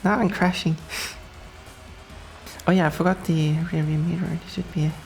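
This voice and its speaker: A young woman from Sweden with a Swedish accent and a notably low voice, chatting with her chat while she races. She laughs easily and drifts between thoughts. Faint game music plays underneath her. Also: steady tone, moderate pace, darkish tone. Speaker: streamer. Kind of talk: casual gameplay commentary.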